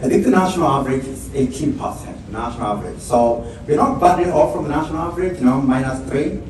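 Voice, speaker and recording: A man's voice: medium pitch, fast, darkish tone. A middle-aged man from Kenya with a Kenyan accent, explaining his teaching informally on a handheld microphone at a networking event. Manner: explanatory, asks rhetorical "right?". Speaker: computer science professor. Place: large indoor event space. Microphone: handheld microphone, heard from far off. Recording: very echoey hall from far off, background noise.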